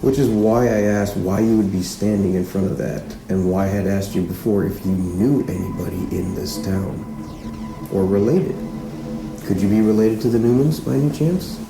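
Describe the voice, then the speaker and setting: Gravelly, low-voiced police chief played by a man. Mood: probing. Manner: measured, insistent questioning with pauses. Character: male small-town police chief, patient, probing, firm investigator. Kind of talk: naturalistic fiction podcast acting. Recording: roomy echo, music underneath.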